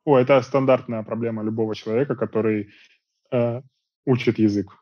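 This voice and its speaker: A man's voice: mid-range voice, fairly quick, no room sound. Guy on a video call from home, earbuds, dead dry and kinda muffled, easygoing rambly chat. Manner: relaxed, talks in long rambling stretches with fillers. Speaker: interview guest. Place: small room at home.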